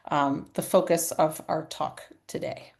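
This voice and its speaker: Woman, hoarse from a cold, throat clearing, earnest conference presenter, conversational with fillers. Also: deep voice, steady tone, measured pace. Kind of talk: live-streamed conference talk.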